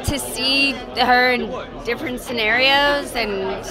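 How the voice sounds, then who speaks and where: A woman in her forties talks candidly into a handheld mic, her voice sitting a little high. She is chatty and self-deprecating, as at a red-carpet interview. Loud crowd chatter fills the big hall behind her. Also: takes it slowly. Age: 40s. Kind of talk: casual red-carpet chat. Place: indoor event press wall, large hall. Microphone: handheld mic held by interviewer.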